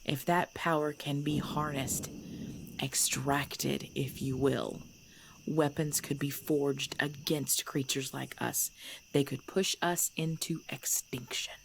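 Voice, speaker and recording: Girl playing old vampire lady leader, deep voice, formal n deliberate, asmr audio drama, faint cricket noise behind. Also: steady tone, vocal fry, full character voice, poor audio. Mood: grave. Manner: measured, formal, deliberate phrasing. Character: centuries-old female vampire clan leader, composed and commanding. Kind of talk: ASMR audio roleplay acting. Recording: background noise with chirping crickets.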